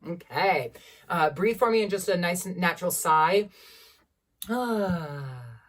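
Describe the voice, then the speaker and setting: A young woman with a generally low voice, teaching a beginner singing lesson from a keyboard at home and encouraging students as she explains. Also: expressive, slow, distant mic. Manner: encouraging, demonstrates vocal sounds between explanations. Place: small room at home, seated at a keyboard.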